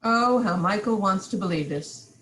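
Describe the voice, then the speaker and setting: A woman with a medium-pitched voice narrates a musical script. She reads out the stage directions as scripted narration. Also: animated, normal speed, a bit bright, poor audio.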